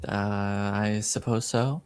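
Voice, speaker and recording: A man's voice: on the low side, steady tone, slow pace, a little mellow, smooth, no room sound. A man voicing a reserved servant in a tabletop fantasy game, hesitant and guarded.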